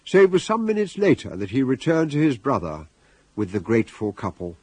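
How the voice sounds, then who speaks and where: A man's voice: medium pitch, very animated, fairly quick, a little mellow, husky, dry recording. A man with a British accent reading scripted narration and announcements for a radio drama.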